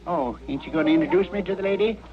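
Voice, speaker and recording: An actor playing a scrawny old trail drifter, blustery and sly, with a rural American accent in a radio western. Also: male voice, high voice, rapid-fire, crowd noise, lightly acted. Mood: folksy, ingratiating. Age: older. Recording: muffled, noisy old radio recording.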